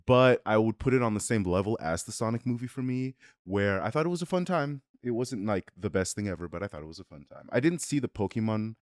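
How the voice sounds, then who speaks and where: A man with a fairly deep voice hosts a casual review conversation. His delivery is informal and hedging, as if thinking opinions through aloud. The sound is dry, with very little room in it. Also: expressive, fast, hardly any pauses, no echo. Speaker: video review host. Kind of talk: casual movie review chat.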